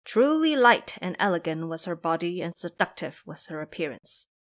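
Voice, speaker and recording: A woman's voice: on the low side, very animated, normal speed. A woman narrating a scripted fantasy story in a dramatic storytelling style.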